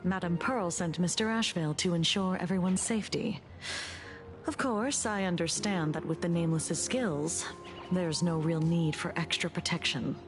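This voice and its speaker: A businesslike, courteous female agent from a video game, voiced by a woman, pitched a little low. She is formal and matter-of-fact, with music clearly playing behind her. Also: normal speed, close to an everyday voice. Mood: courteous, calm. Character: polite, businesslike, level-headed adult female agent.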